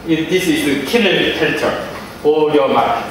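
A man's voice: on the high side, a little fast. Hesitant Korean man in echoey hall. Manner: hesitant, many fillers and restarts, searching for words. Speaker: conference presenter. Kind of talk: halting conference talk in English. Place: large dim conference room. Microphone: handheld mic, far camera. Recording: very echoey hall, far from mic, noisy.

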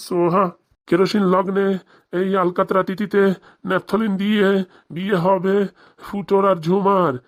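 Man playing a sing-song, sly village priest, flat-toned. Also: high-pitched, lightly acted. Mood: mock solemn chanting. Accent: Bengali, rural dialect. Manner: sing-song mock-solemn chanting. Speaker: wedding priest. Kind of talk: comic cartoon voice acting with mock mantra chanting.

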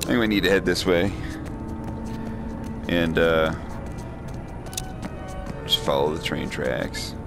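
Deep-voiced young man playing a horror game. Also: slow, gravelly, long pauses, music in the background. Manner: jittery, talks himself through scares, yelps high when startled. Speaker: let's play gamer.

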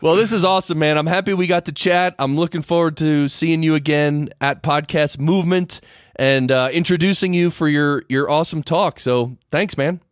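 A man's voice: on the high side, moderate pace, dry recording, studio quality. A man hosting a podcast interview, joking and enthusiastic in casual chat. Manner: jokey, enthusiastic. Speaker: podcast host.

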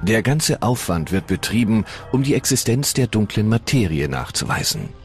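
A man narrating a science documentary in a measured, solemn way over music. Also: fairly low pitch, big pitch swings. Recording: voice-over with loud music behind.

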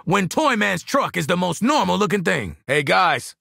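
Game casting: an irritable, cocky gun-for-hire, sardonic and punchy, highly animated pitch, husky tone. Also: male voice, normal speed, no room sound, clean studio sound, exaggerated voice. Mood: sardonic, irritated. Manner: slangy, sardonic, punchy delivery. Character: cocky, irritable male mercenary sharpshooter, sardonic squad member. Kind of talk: video game character voice lines.

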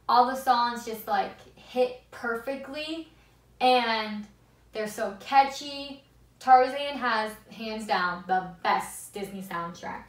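Young woman, squeaky and fairly high, unhurried enthusiastic vlog chat; roomy sound from a distant camera mic. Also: fairly bright tone. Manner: enthusiastic, chatty, seated and talking to camera. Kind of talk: casual vlog monologue. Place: small room indoors. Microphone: far camera. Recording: echoey room, mic at a distance.

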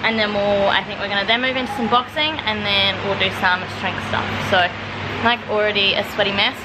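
A young Australian woman talks straight into a handheld camera, rambling cheerfully through her day with plenty of fillers. Her voice sits at a middle pitch for a woman. Steady background noise runs under the whole recording. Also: brisk. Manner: chatty, upbeat, rambling with fillers. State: out of breath after a workout. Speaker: vlogger. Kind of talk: casual vlog chat. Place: home kitchen and bedroom, also a gym. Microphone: handheld camera at arm's length.

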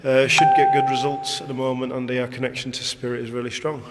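A man's voice: mid-range voice, flat delivery, quick pace. A man chatting casually to livestream viewers on a walking tour, recorded on a handheld phone. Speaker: paranormal investigator livestreaming. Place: inside a lighthouse stairwell and museum. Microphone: phone at arm's length. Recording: reverberant stairwell, faint noise, handheld camera.

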